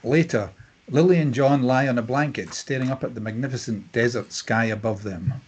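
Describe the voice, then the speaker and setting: A man with a husky voice narrating stage directions from a screenplay at a table read. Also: medium pitch, moderate pace, darkish tone, slight background noise. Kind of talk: scripted screenplay narration, present tense.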